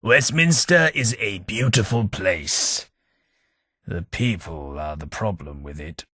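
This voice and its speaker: An English actor voicing a posh, sardonic ghoul gentleman companion in a video game, with a plummy, dry delivery. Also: on the low side, animated, takes it slowly, breathy edge, dry recording, heavily acted. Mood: wry. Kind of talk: game voice lines.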